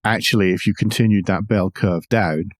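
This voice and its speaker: Male podcast host, low pitch, measured explanatory commentary, wry asides, very dry recording. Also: steady tone, dry recording. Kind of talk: news discussion with commentary.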